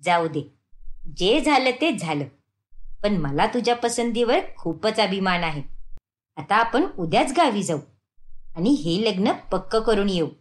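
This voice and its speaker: Cartoon mother-in-law, middle-aged, bossy, proud, emphatic, lively intonation; female performer. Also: mid-range voice, a little slow, pauses often, pitched below the performer's own voice, close to an everyday voice. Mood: proud, approving. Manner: emphatic, scolding and praising in turns. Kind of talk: animated moral-story cartoon dialogue.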